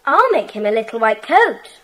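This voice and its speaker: A woman's voice: on the high side, very animated, moderate pace, fairly bright tone. A woman playing a decisive, slightly bossy schoolboy gang leader in a children's audiobook drama. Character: Peter, decisive schoolboy leader of secret club.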